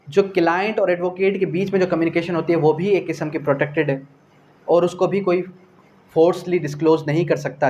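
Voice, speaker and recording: A man's voice: fairly high pitch, highs cut off, a little hiss or hum. A man with a Pakistani accent giving a law lecture, asking questions and answering them himself, repeating key phrases and mixing in English terms. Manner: mixes English legal terms into speech. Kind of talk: classroom lecture explaining definitions and articles.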